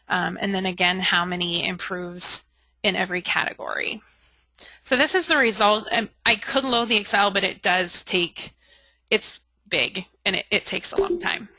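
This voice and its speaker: A woman with a bright, forward voice runs an informal software training session. She sounds close and dry, with little room around her. She answers questions frankly and helpfully, often thinking aloud as she works. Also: medium pitch, no echo, rough recording. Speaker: software trainer leading a webinar and taking questions. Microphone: conference call or webinar audio.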